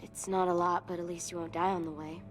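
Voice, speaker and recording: Casting: woman as tough teen girl, lowish voice, blunt and sardonic, game drama with faint music. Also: little pitch movement, fast talker, natural voice. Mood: subdued, regretful. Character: blunt, tough, fair-minded teenage girl. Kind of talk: naturalistic video game acting.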